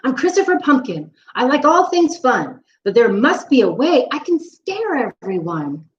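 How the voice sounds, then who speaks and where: Woman doing bubbly, high pumpkin boy. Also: very animated, moderate pace, slight background noise. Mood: anxious. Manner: bubbly and eager. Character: cheerful, kind, fun-loving young male pumpkin. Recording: roomy, slightly dull recording.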